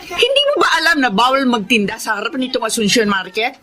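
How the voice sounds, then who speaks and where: Domineering warehouse woman, sharp forceful voice, scolding, greedy, radio drama, faint background noise. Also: fairly high pitch, lively intonation, brisk. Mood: scolding, threatening. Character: bullying, greedy warehouse owner, haughty market woman.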